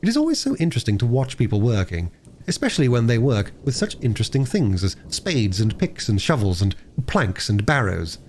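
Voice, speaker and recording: A man narrating a story as an audiobook reader, with expressive delivery. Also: medium pitch, fairly quick, a little hiss or hum.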